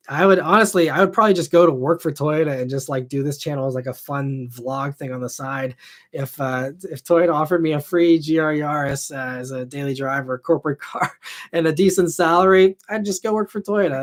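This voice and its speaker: A man's voice: fairly high pitch, brisk. A man in his thirties hosting a live stream, answering viewer questions in a rambling, casual way full of fillers. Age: 30s. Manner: rambling, heavy on fillers like "you know" and "I mean". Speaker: host.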